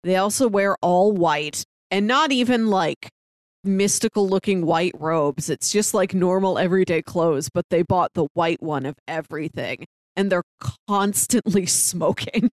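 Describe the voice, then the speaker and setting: A woman's voice: lowish voice, measured pace, no echo. Woman co-hosting a podcast, dry close sound. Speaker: podcast co-host. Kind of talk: casual podcast chat.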